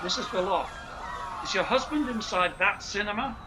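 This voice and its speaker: A man playing a brisk, commanding Scotland Yard inspector in a live radio play. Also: high-pitched, noisy background, natural voice. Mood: brisk, questioning.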